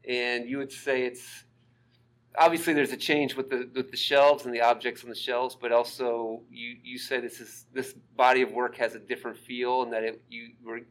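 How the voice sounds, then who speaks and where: Male interviewer, mid pitch, casual questions, brief affirmations. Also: level tone, moderate pace.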